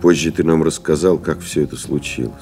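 An actor playing a pilot who recalls a lost comrade, reading solemnly, expressively and in measured literary phrases. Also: male voice, extremely low pitch. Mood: solemn, reflective. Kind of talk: dramatic literary reading in an audio play. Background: music behind the voice.

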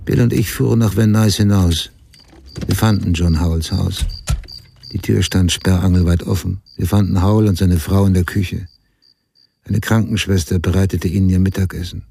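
An actor playing a writer who soberly narrates his past in a radio drama, with a husky, dark, gravelly voice. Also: deep voice, steady tone, normal speed, full character voice. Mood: sober, reflective. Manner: sober, matter-of-fact. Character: grown male writer soberly recounting his murdered mother's case. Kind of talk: scripted first-person narration, radio drama.

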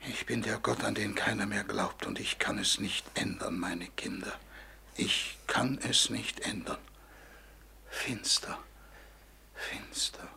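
Raspy, lamenting old God. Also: male voice, fairly low pitch, slow, sharp tone, whispered, heavily acted. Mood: broken lament. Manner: plaintive, lamenting. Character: weeping, helpless old God nobody believes in. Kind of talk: 1940s German radio play acting. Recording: old 1940s radio recording.

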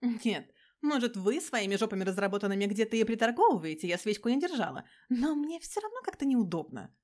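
A woman's voice: on the high side, very animated, fairly quick, darkish tone. A woman voicing a brash, foul-mouthed, suspicious petite policewoman in an audio story, blunt and slangy. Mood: wry, reluctant.

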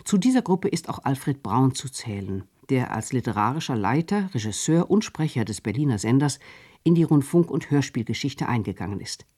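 A man reading a scripted radio documentary narration in a measured, formal way. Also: mid-range voice, big pitch swings, mellow, breathy edge.

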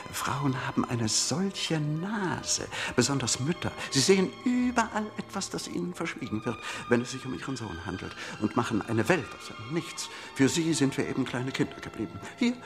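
Glib surgeon, wildly swooping voice. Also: male voice, mid-range voice, very animated, moderate pace, music in the background. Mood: breezy insistence. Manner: theatrical, wheedling, brushing doubts aside. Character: glib, pushy, cheerfully callous male surgeon. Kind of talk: German radio play acting.

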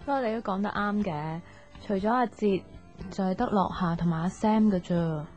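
An actress with a Hong Kong Cantonese accent playing a pensive, soft-hearted young woman unsure in love, reflective and earnest in an intimate radio drama. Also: mid-range voice, moderate pace, close to an everyday voice. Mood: light, friendly. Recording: dull radio recording, music underneath.

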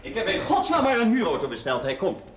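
A brisk, businesslike husband in a television drama. He talks fast in a mid-pitched, animated voice. Faint noise marks the seventies soundtrack. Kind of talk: Dutch 1970s television drama acting. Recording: noisy 1970s television soundtrack.

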